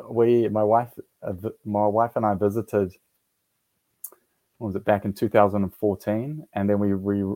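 A man's voice: deep voice, dark tone, dry recording. A man with a New Zealand accent, a guest in his forties telling his story on a radio talk show, hesitant with many fillers and restarts. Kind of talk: casual interview.

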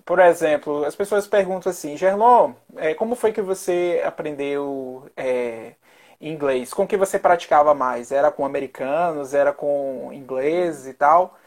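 A man's voice: fairly high pitch, unhurried, darkish tone. A chatty male interviewer keeps the talk loose and friendly. He strings his thoughts together with frequent tag questions to his guest. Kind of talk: casual interview chat.

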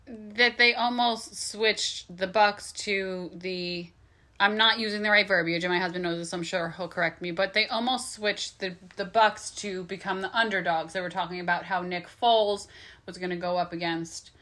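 Congested, bright-voiced woman co-host. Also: medium pitch, fairly even intonation, normal speed. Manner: chatty, opinionated, laughs often. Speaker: co-host, host's wife. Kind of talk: casual sports talk podcast.

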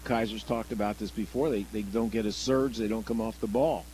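A man giving conversational color commentary on live radio football, explaining plays in a halting way and often restarting his phrases. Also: on the low side, fairly even intonation, mellow, slight background noise, low-quality recording.

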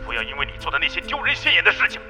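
A man's voice: a little high, huge pitch swings, rapid-fire, bright, forceful tone, full character voice. An actor playing a stern, domineering businessman father in a radio drama, commanding and scolding. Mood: angry, reproachful. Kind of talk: modern radio drama acting. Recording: long hall reverb, music underneath.

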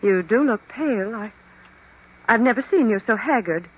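An actress playing a worried, well-bred wife with a concerned, dramatic manner, in old-time radio mystery style. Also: female voice, a little high, animated, moderate pace, husky. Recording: dull vintage radio recording.

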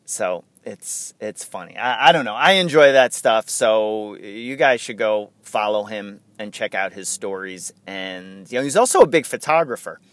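A man's voice: lowish voice, lively intonation, moderate pace, few pauses, dry recording. A man with a New York accent hosting his own podcast in a rambling, casual way, chuckling and restarting his sentences. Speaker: podcast host. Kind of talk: casual solo podcast chat.

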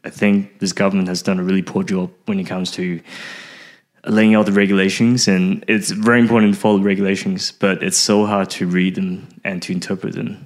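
A middle-aged man from Taiwan chatting casually as an interview guest, often halting and repeating words. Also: low voice, flat delivery. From Taiwan, later Australia and Canada. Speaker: podcast guest, fishing website founder.

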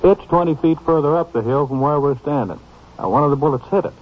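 A man's voice: fairly high pitch, natural voice. Actor as methodical lab man on muffled radio. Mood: puzzled. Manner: precise, deliberate explaining. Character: puzzled, methodical male police lab man explaining evidence. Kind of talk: 1950s radio crime drama acting. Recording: muffled, slightly noisy old radio recording.

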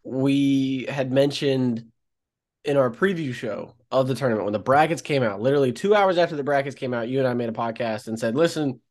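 A man hosting a college sports podcast, talking in an upbeat, energetic way. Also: medium pitch. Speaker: podcast host. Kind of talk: casual sports podcast chat. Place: Columbia.

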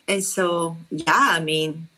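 Slow-speaking Peruvian woman, halting. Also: low voice, fairly even intonation, pushed and bright. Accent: Peruvian Spanish. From Peru. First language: Spanish. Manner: halting, searching for words with fillers. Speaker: podcast guest. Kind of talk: interview conversation. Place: at home.

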